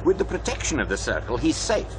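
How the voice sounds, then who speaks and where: An actor playing a world-weary, knowing sceptic with a sardonic conversational delivery in a radio drama. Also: male voice, a little high, huge pitch swings, fast, background noise.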